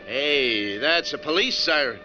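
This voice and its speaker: An American actor playing a folksy, easygoing bus driver, narrating wryly in the style of 1940s radio crime drama. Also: male voice, medium pitch, lively intonation, measured pace, natural voice. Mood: casual. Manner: colloquial, wry. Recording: noisy, muffled 1940s radio recording.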